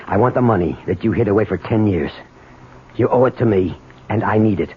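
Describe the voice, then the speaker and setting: A man's voice: lowish voice, lively intonation, fairly quick, lightly acted. Actor as agitated, obsessive man on tinny radio. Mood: menacing, urgent. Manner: agitated, insistent, commanding. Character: desperate, obsessive man demanding hidden stolen money. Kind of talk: 1970s radio drama acting. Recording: tinny, noisy old radio broadcast.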